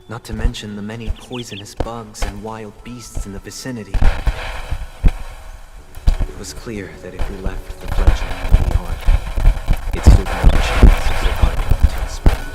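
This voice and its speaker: A man's voice: fairly low pitch, close to an everyday voice. A man voicing a composed, eloquent young man. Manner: measured, formal, softly persuasive. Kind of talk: game cutscene voice acting. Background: loud game soundtrack music.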